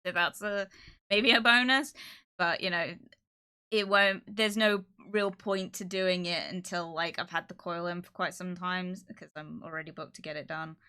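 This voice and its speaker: Bright-voiced young woman, chatting candidly. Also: fairly low pitch, steady tone, normal speed, clean tone. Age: 20s. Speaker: live streamer. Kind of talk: casual livestream chat, candid personal talk.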